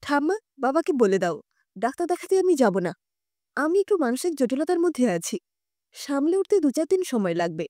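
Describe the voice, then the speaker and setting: A woman's voice: high-pitched, very animated, normal speed, warm tone, no echo, studio quality. A woman voicing a troubled, stubborn young college woman, earnest and guarded, in an audio story. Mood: insistent, defensive.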